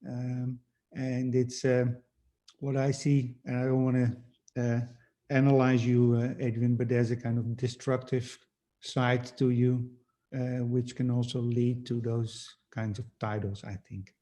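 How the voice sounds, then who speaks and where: A man moderating a panel discussion over a video call, offering measured, reflective remarks. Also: mid-range voice, flat delivery, warm tone.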